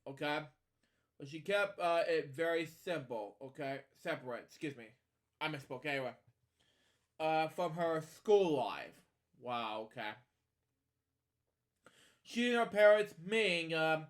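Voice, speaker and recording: Higher-voiced man, rambling casually. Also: a bit bright. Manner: digressive, opinionated commentary addressed to listeners. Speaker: podcast host. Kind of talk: casual rambling read-along of a children's storybook with asides.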